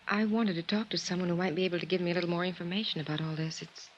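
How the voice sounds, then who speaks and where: An actress playing a composed, quietly intense woman of twenty-one with a husky voice, in a 1950s radio drama. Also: fairly low pitch, steady tone, rapid-fire, slight background noise, close to an everyday voice. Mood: cool, guarded. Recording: dull old 1950s radio recording.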